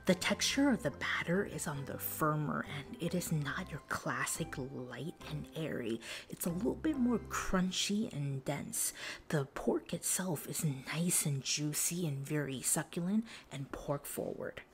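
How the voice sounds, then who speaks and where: A woman narrating a food review in a soft, breathy whisper, calm and descriptive. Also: low-pitched, measured pace, soft background music. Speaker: food vlogger.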